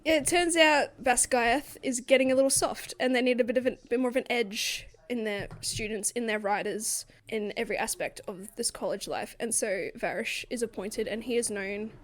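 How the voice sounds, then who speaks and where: A young woman co-hosting a book podcast, chatting casually and swearing freely in quick joking banter. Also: fairly high pitch. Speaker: podcast co-host.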